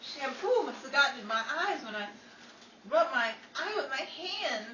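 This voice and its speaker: A woman playing Betty, a flustered, scatterbrained yet quick-witted woman, with theatrical exclamations in 1940s radio comedy style. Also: high-pitched, very animated, pushed and bright, full character voice. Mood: flustered, exasperated. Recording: echoey room, distant mic, faint noise.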